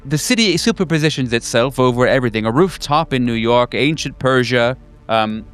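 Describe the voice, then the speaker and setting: Guy doing cryptic scholarly henchman of some cosmic king, horror rpg, measured n clear, music behind. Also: medium pitch, animated, lightly acted. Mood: philosophical, eerie. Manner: measured, philosophical, enigmatic. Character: cryptic, bookish male servant of a cosmic king. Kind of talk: improvised tabletop horror roleplay.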